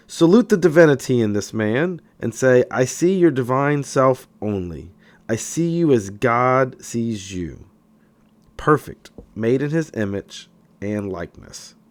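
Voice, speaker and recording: A man reading a self-help book aloud in scripted narration, with emphatic, deliberate phrasing. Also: medium pitch, a little mellow. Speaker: audiobook reader.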